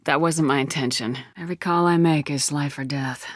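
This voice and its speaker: A woman's voice: low-pitched, fairly even intonation, fairly quick, no room sound, studio quality. A woman acting as a tough, confident space-navy commander in a video game, with a firm, controlled voice and a blunt, curt, assertive delivery. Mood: blunt, commanding. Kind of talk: game dialogue, isolated voice lines.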